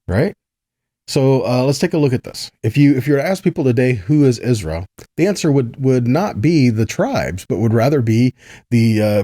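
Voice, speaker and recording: A man's voice: medium pitch, warm tone, dry recording, clean studio sound. A man teaching a weekly Bible lesson in a conversational way, reading scripture passages aloud and checking in with his listeners. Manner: conversational, checks in with "right?" and "see that?". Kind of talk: weekly Torah portion teaching.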